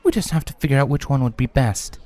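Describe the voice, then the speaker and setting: A man's voice: mid-range voice, quick pace, darkish tone, voice pushed up, close to an everyday voice. A man voicing a regal, resolute adult female pony princess in a fantasy storybook reading. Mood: measured.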